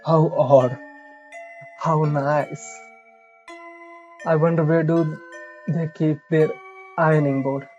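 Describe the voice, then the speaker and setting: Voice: mid-range voice, fairly even intonation, slow pace, pauses often, a little mellow, highs cut off. A game streamer reading a visual novel aloud with casual side comments, stumbling now and then over the lines. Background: game music.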